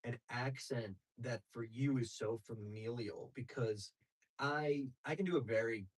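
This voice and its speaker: A man's voice: medium pitch, unhurried, slightly rough, poor audio. A man, a comedian, chatting animatedly on a podcast and slipping into little put-on voices. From Greek family. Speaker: comedian guest. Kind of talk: casual podcast chat.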